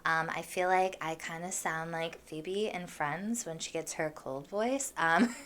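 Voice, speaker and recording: A young woman hosting a casual podcast, chatting with a froggy, hoarse voice from allergies. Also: low-pitched, measured pace, a bit bright, slight background noise. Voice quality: froggy, husky voice. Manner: chatty, with many fillers and laughs. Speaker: podcast host. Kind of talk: casual solo podcast chat.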